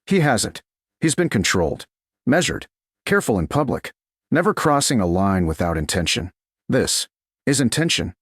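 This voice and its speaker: A man's voice: mid-range voice, sing-song, normal speed, slightly rough, no room sound, clean studio sound. A man reading a romance story as a dramatic audiobook narrator, in short, weighted, suspenseful phrases.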